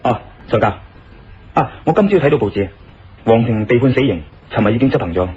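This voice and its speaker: Inquisitive investigator played by a male actor, Hong Kong Cantonese, expressive swings in pitch, slightly muffled radio drama recording over music. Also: fairly quick, lightly acted. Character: thoughtful male investigator, earnest and curious. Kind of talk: Cantonese audio drama acting.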